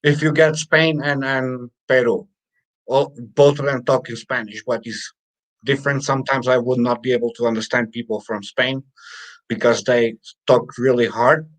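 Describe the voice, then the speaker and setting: A man's voice: mid-range voice, steady tone, moderate pace, rough recording. A man co-hosting a casual live stream, chatting loosely and reading viewers' comments aloud with plenty of fillers.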